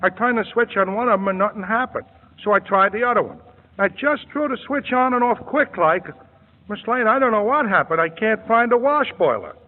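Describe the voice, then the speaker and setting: Folksy bumbler, old radio drama, echoing in a long hall. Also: male voice, high voice, lively intonation, brisk, phone-quality sound. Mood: sheepish. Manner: rambling, folksy, ungrammatical. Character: bumbling comic male sidekick, rustic.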